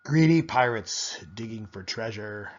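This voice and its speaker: A man reading a children's picture book aloud in a measured, expressive storytelling manner. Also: on the low side, highs cut off. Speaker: storybook reader.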